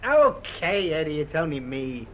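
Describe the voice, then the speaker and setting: Cocky, animated male car thief. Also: on the high side, measured pace, highs cut off. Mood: breezy, persuasive. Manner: breezy, persuasive, scheming. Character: cocky, smooth-talking male car thief and con man. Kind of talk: old-time radio crime drama acting. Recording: faintly noisy old radio recording.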